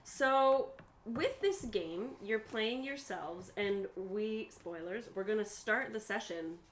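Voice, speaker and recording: A woman's voice: medium pitch, slow pace, slight background noise. A woman explaining tabletop game rules to players as game master. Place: indoors at a home game table.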